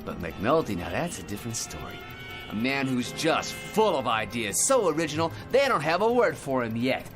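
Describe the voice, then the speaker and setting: Guy acting cocky american hustler in a radio play, real animated, big boasting dreamer, music behind. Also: medium pitch, moderate pace, lightly acted. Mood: smug scheming. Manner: theatrical, cocky, self-talking hustler patter. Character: brash, boastful man, a scheming big-talking dreamer.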